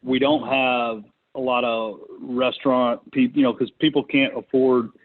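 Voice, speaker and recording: A man's voice: medium pitch, level tone, measured pace. Man on a narrow, phone-like conference line. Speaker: conference call host, real estate investor. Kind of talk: casual unscripted business talk. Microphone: conference call connection.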